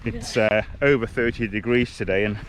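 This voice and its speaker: A man's voice: on the low side, steady tone, moderate pace. A man giving casual, conversational field-test commentary as he vlogs outdoors. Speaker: vlogger, hiking group leader. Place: outdoors on mountainsides. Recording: noisy outdoor recording with clicks.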